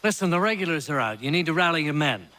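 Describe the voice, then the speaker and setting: An earnest colonial messenger in a game cutscene. His voice sits slightly high, bright and forward, with an urgent, businesslike delivery. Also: lively intonation, fast talker. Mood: urgent, brisk. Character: brisk, earnest male patriot messenger rousing allies. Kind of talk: video game cutscene acting.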